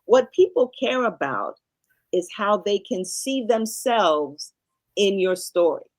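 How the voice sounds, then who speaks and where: A middle-aged woman guesting on a podcast who talks like a speaking coach. She is emphatic and teacherly, repeating short phrases to hammer each point home. Her voice sits at an ordinary middle pitch for a woman. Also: very animated, slow, darkish tone. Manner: emphatic teacherly explaining with repetition. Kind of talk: podcast interview conversation.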